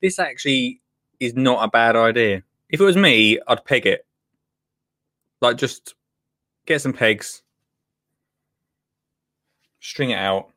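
A man in his thirties hosting a casual interview, asking loose, halting questions. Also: medium pitch, lively intonation, pushed and bright. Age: 30s. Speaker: podcast host.

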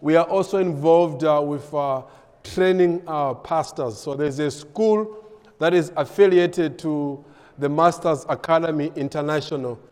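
A man from Malawi, a pastor and missionary in his thirties or forties, giving a ministry update with frequent pauses and fillers. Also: on the high side, darkish tone, smooth, in a large hall. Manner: hesitant, many filler pauses.

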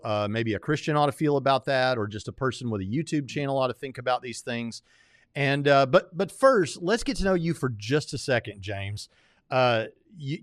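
A man's voice: medium pitch, dry recording. Man hosting a conversational interview, close dry sound.

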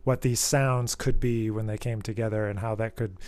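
A man hosting an interview podcast, asking questions in a relaxed, friendly, loose way. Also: on the low side, moderate pace, no echo. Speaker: podcast host. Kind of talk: casual interview chat.

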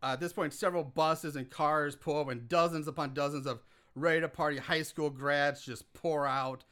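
A man's voice: on the high side, fairly even intonation, moderate pace, a bit bright. A man co-hosting a podcast, casually recapping a movie scene by scene, rambling, laughing and swearing freely. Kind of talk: casual chat.

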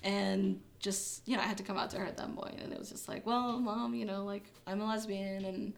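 A woman's voice: mid-range voice, fairly even intonation, brisk, vocal fry. Young woman, casual interview guest, chatty, filler-heavy, laughs easily. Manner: chatty, many fillers, laughs when uncomfortable.

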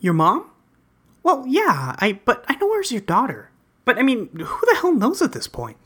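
Woman voicing an animated, bright rambling teenage boy. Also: fairly high pitch, normal speed, husky, exaggerated voice. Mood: thoughtful, awkward. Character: earnest, rambling teenage boy recapping his wild adventures. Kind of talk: character dub reading of a webcomic.

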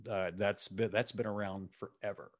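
A man's voice: lowish voice, little pitch movement, normal speed. A man hosting a podcast, chatting casually with a guest, joking and swearing offhandedly. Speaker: podcast host. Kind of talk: casual interview chat.

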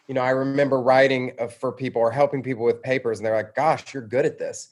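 A man's voice: medium pitch, fairly even intonation, quick pace. An American man speaking as an interview guest, telling personal stories in a reflective, self-deprecating conversational way. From suburban Atlanta, Georgia, United States.

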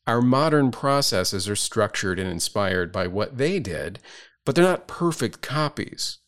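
A man in his fifties, a pastor, answering listener questions in a measured, explanatory way with light humor. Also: medium pitch. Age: 50s.

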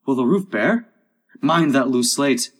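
A man voicing squabbling storybook workmen who brisk and busily call out orders to one another. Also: mid-range voice, expressive, slightly rough, soft background music. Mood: bustling. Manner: brisk, calling out. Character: bustling, squabbling male workmen calling out orders.

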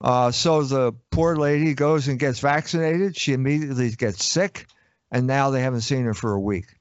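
A man's voice: medium pitch, moderate pace, dry recording. A man answering interview questions in an emphatic, assertive way. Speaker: interview guest. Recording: dry, dull lo-fi audio.

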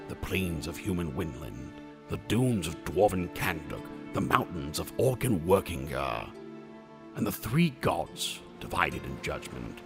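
A man's voice: extremely low pitch, vocal fry. A man giving grand, dramatic scripted narration for a fantasy audio play. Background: loud music.

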